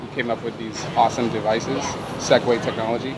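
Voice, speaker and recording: A man's voice: medium pitch, little pitch movement, brisk. A man talking casually to camera as a vlogger, explaining things in an easygoing, conversational way and checking in with his listeners. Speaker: vlogger and electric unicycle rider. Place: outdoors on a city street. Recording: outdoor urban recording, loud traffic noise.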